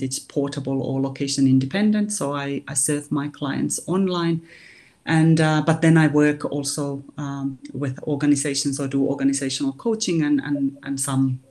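A woman, a life coach answering interview questions, thinking aloud with pauses. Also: sits low, darkish tone. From Finland.

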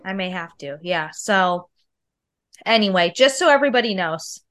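A woman's voice: lowish voice, animated, normal speed, a bit bright. A woman in her thirties co-hosting a podcast, nasal and sick with a cold, chatting in a confiding storyteller way. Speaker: podcast co-host. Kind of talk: casual chat.

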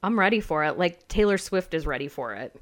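A woman's voice: on the low side, expressive, fairly quick. A woman co-hosting a podcast in a casual chat, frank and sassy, saying "like" a lot. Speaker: podcast co-host.

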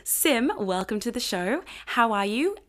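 A woman's voice: a little high, animated, unhurried, a bit bright. A woman hosting an interview, bubbly and gushing with exclamations. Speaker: podcast host. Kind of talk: casual interview chat.

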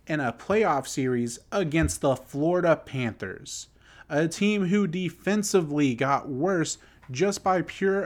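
A man in his twenties, a podcast co-host, ranting casually and heatedly about his hockey team, filling his talk with "like". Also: fairly high pitch. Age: 20s. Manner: heated, exasperated ranting with lots of "like" fillers. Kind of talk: casual sports chat.

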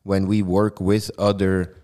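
Deep-voiced Swiss man, smooth and earnest. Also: little pitch movement, a little slow, mellow. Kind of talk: casual podcast conversation.

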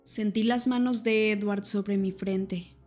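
Woman narrating an audiobook, flat-toned. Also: medium pitch, slow, faint music behind. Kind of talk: first-person audiobook narration.

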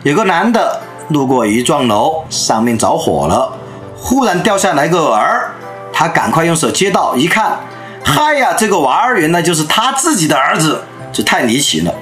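A man in his thirties or forties delivers a storytelling lecture with a lively voice whose pitch rises and falls widely. He draws listeners in as he tells his anecdotes. Soft music plays faintly underneath. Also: moderate pace.

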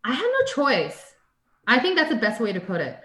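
A woman's voice: a little high, huge pitch swings, moderate pace, fairly bright tone. Young american woman just chatting, lots of likes and ums, bit of room echo. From United States. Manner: rambling, lots of "like" and "um" fillers, laughs often. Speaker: guest artist. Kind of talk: casual interview chat. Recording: echoey room.

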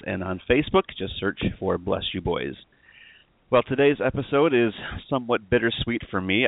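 Guy hosting a podcast, kinda low voice, chatty interview style, sounds like a phone line. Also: no echo. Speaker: podcast host.

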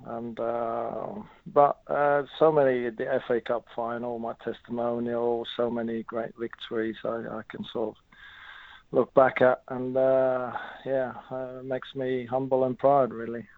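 A middle-aged man from Norway with a Norwegian accent, a former footballer answering interview questions in a halting way with many fillers. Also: mid-range voice, level tone, no echo. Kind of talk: casual interview. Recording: tinny phone line.

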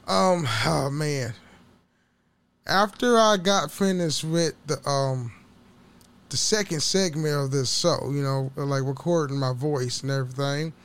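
A man hosting a casual solo podcast, rambling and swearing with frequent laughs, his voice a little hoarse. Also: on the high side. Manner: rambling, profane, laughs often. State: slightly hoarse from waking up. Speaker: podcast host.

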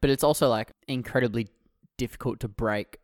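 Wry young podcast co-host. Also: male voice, mid-range voice, brisk, dry recording. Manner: dry, wry asides. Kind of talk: casual political chat.

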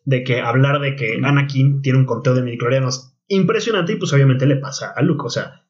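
A young man with a Mexican Spanish accent, co-hosting a podcast in casual chat, animated and slangy, often restarting his sentences. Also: mid-range voice, a little fast. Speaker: podcast co-host. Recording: dull lo-fi podcast audio.

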